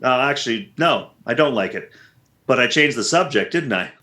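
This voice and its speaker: A man's voice: medium pitch, animated, normal speed, noisy background, natural voice. Flustered radio-comedy husband with swooping inflections, acted by a man. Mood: sly, wry. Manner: flustered, incredulous, wry. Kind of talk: re-enacted 1940s radio comedy acting. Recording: roomy, echoing voice recording.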